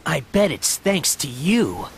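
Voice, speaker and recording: A man's voice: on the high side, sing-song, slow pace, gravelly, noisy background, heavily acted. An actor voicing a young male hedgehog hero in a video game, speaking with curious, sincere questions.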